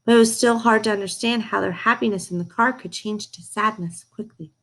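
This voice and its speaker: A woman reading a storybook aloud to young listeners in an expressive, direct way, as a read-aloud host. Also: mid-range voice, brisk.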